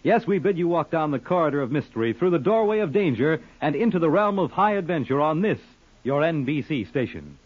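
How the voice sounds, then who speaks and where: A man's voice: fairly high pitch, very animated, fairly quick, slightly rough. A man announcing on 1950s network radio, reading scripted promos in a formal, dramatic manner. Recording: dull old-time radio recording.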